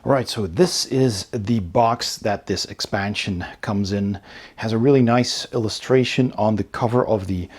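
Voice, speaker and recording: A man's voice: on the low side, a little slow, slightly rough, faint music behind. A man hosting a casual unboxing video, thinking aloud and hesitating as he describes each item. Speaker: host of a board game unboxing channel.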